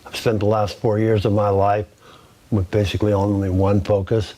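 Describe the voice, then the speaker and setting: Lower-voiced man in an interview. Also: little pitch movement, moderate pace, dark tone. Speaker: bereaved father interviewee. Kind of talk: unscripted interview.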